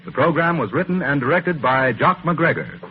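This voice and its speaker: A man's voice: a little high, animated, moderate pace. Tinny vintage male radio announcer. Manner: formal, theatrical. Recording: tinny, faintly noisy 1940s broadcast.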